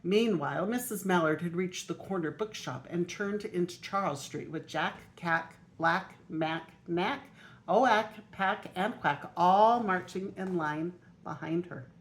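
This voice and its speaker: Older woman reading a storybook, lowish voice. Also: measured pace. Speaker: grandmother reading a children's picture book aloud. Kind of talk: storybook read-aloud.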